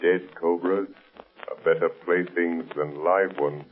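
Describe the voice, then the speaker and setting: Unseen crime fighter: extremely low, slow, sardonic and commanding, old radio serial. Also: male voice, fairly even intonation, narrow, tinny audio, a little hiss or hum. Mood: mocking, sinister. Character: mysterious, mocking, unseen crime-fighting avenger. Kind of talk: 1930s radio acting. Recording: thin 1930s radio recording, organ-style music bed.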